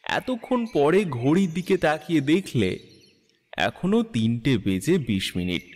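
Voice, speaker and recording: Animated male storyteller in a reverberant hall. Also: mid-range voice. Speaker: story narrator. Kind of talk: dramatic literary scripted narration.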